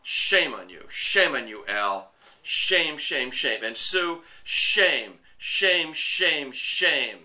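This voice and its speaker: A man's voice: fairly high pitch, animated, extremely slow, bright, forceful tone. A middle-aged American man hosting an online commentary show, delivering an indignant, blunt, off-the-cuff rant to viewers.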